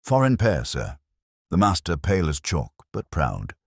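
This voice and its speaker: A man's voice: low-pitched, wildly swooping pitch, a little slow, breathy edge, no echo, studio quality, exaggerated voice. A man voicing a lean, respectful railway porter in an audiobook, reporting in clipped phrases. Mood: deferential, uneasy. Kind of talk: dramatic audiobook dialogue.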